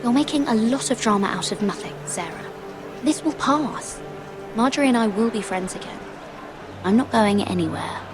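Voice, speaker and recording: Voice of an actress as a composed young highborn woman in a fantasy game. She is guarded but firm, with a fairly high pitch. Music sits behind her words. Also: expressive, moderate pace, lightly acted. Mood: firm, defensive. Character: composed, proud young noblewoman serving as handmaiden. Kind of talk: video game drama acting.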